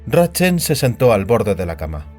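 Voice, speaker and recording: A man's voice: fairly low pitch, very animated, moderate pace, darkish tone. A man narrating a scripted fiction story as a dramatic audio production. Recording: music bed behind the voice.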